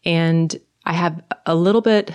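A middle-aged woman from Norway with a Norwegian accent, soft-spoken and hesitant, telling her personal story in a conversation. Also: fairly low pitch, steady tone, unhurried, clear, resonant tone. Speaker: guest.

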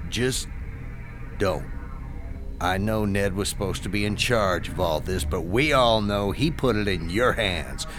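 A man's voice: lowish voice, a little slow, over music, close to an everyday voice. A man playing an imperious, stern head of a powerful family in a radio horror drama, commanding those around him. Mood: cold disappointment. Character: imperious, cold male family patriarch barking orders.

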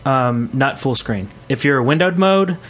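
A man's voice: medium pitch, expressive, a little slow, fairly bright tone. A man co-hosting a podcast, chatting informally and prompting the others with questions. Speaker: podcast co-host. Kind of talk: casual podcast chat.

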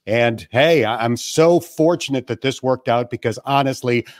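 A man from western New York who is a radio play-by-play broadcaster, answering interview questions in a measured, self-deprecating way from his family room. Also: mid-range voice, dry recording, clean studio sound. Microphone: remote call from home.

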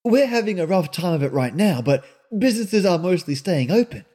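A man voicing a high, anxious young woman. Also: very animated, brisk, warm tone. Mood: anxious, demanding. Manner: pressing, questioning.

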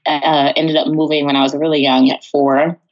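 A woman's voice: sits low, level tone, normal speed, highs cut off. An American woman from Texas chatting casually as an interview guest, telling stories in a chatty way and acting out quotes. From Texas, United States. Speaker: interview guest, musical theater performer. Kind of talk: casual conversational storytelling.

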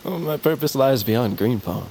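A young man playing a gentle, dreamy bear musician and devoted grandson in an audio drama, soft-hearted and a little hesitant. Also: mid-range voice, lively intonation, normal speed, slight background noise. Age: young adult. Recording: insect ambience clearly behind voice.

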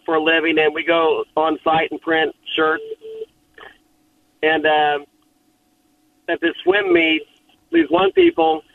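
A man phoning in to a radio show, telling a story casually and haltingly over a muffled call. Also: a little high, creaky.

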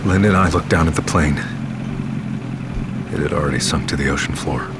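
A man's voice: extremely low pitch, a little fast, heavy background noise. An actor playing a weary male crash survivor, narrating his story in a first-person audio drama. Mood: somber, reflective. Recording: loud boat motor and water behind. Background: boat engine and sea ambience.

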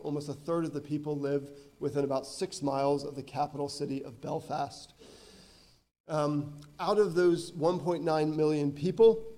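A man in his thirties, a visiting missionary giving an earnest church talk with frequent ums, his voice hoarse from a cold. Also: on the high side, warm tone. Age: 30s. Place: church sanctuary.

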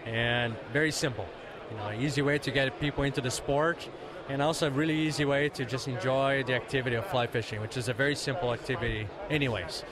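A man from Brazil, a company founder, explaining his product in a relaxed way with small pauses. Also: medium pitch. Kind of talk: interview answers. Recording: busy crowd chatter behind.

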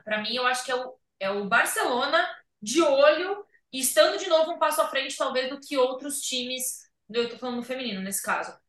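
Lively high-voiced Brazilian woman, roomy sound. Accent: Brazilian Portuguese. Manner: animated, rambling, thinks aloud with many restarts. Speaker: podcast host. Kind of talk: casual sports chat. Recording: roomy, a bit far from the mic.

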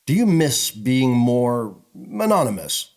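A man's voice: mid-range voice, big pitch swings, takes it slowly, a little mellow. A man playing a polished, pressing talk show host of the far future in a sci-fi audio drama. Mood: probing.

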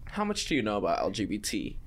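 A man from Chile, guesting on a podcast, chatting in an animated, campy, joking way. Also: lowish voice, quick pace. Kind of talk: casual chat.

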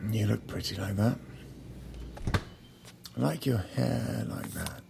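A man playing a tipsy, wounded husband in a naturalistic audio drama, halting and muttering as he pleads. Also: fairly low pitch, gravelly, noisy background, full character voice. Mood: tipsy, wistful. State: slightly drunk. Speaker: actor in scripted audio drama.

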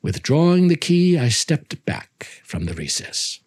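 A man's voice: fairly high pitch, very animated, measured pace, a little mellow. A man giving a dramatic literary narration, reading a story aloud in a theatrical, intense and emphatic way.

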